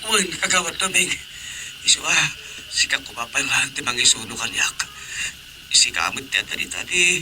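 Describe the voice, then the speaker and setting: A man's voice: mid-range voice, expressive, normal speed, close to an everyday voice. An actor playing a whining, stammering village councilman who groans about a put-on bellyache in a comedy radio drama. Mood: groaning, pleading. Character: whiny male village councilman faking a bellyache. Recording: clearly noisy radio drama recording.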